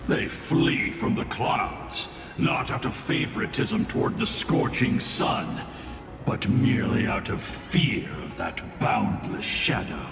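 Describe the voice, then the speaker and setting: A man narrating a game's history in a grave, measured way. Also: sing-song, creaky. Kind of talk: solemn scripted game narration. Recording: deep echoing reverb under game music.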